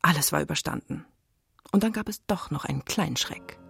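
A woman narrating a children's radio play as a wry, suspenseful storyteller, expressive and with pointed pauses. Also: sits low, vocal fry, husky, exaggerated voice. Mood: composed, wry. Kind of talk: dramatic scripted storytelling for children.

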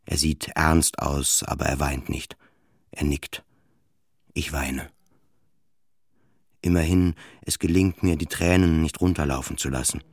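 Depressed family man, very low-pitched, halting, repetitive, self-loathing, intimate radio drama monologue. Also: steady tone, dry recording, clean studio sound, heavily acted. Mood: subdued, reflective. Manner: repetitive, halting, short insistent phrases. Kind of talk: intimate first-person radio play monologue.

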